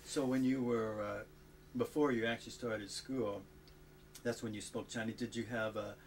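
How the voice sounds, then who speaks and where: A man interviewing a guest for an oral history, asking halting questions with many fillers. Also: medium pitch, steady tone, a little hiss or hum. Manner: hesitant, prompting with short questions.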